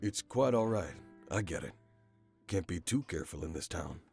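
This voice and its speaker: An actor playing a shy, kindly cowboy stable hand in a visual novel, hesitant, apologetic and polite. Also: male voice, deep voice, expressive, normal speed, vocal fry, a little mellow. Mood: gentle, guarded. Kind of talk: visual novel character acting. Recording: guitar music behind the voice. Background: plucked guitar music.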